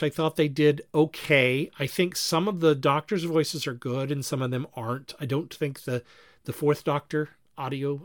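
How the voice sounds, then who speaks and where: A man talking casually and critically as a podcast panelist, talkative, with halting, repeated phrases. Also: medium pitch, no room sound, clean studio sound. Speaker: podcast panelist reviewing fan productions.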